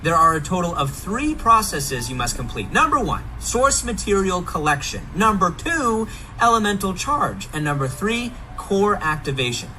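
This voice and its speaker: A man giving an upbeat scripted presentation with an animated, enthusiastic manner. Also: high-pitched, normal speed, slight background noise, low-quality recording. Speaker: game livestream presenter. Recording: faint music behind the voice.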